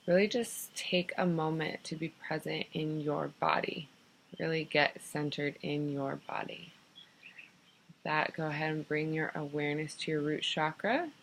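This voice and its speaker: A woman leading a guided meditation in an unhurried, soothing manner, giving gentle improvised instructions in short phrases with pauses. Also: low-pitched, flat delivery, creaky, slight background noise, low-quality recording. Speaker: guided meditation teacher and host.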